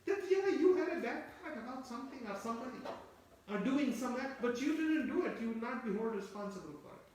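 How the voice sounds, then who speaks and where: Echoey, distant lecturing man with a high voice. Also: lively intonation, quick pace, darkish tone. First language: Turkish. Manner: explanatory, repeats phrases, asks listeners rhetorical questions. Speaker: Quran teacher. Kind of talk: tafsir lecture. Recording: very echoey room, voice from far off.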